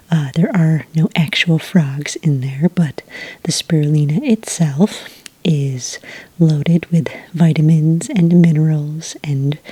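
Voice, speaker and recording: A woman playing a friendly, helpful witch who serves at a magical cafe, speaking in a soft, breathy whisper in a patient ASMR roleplay style. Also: sits low, no room sound, full character voice. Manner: patient, gentle, explanatory. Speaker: cafe server taking orders.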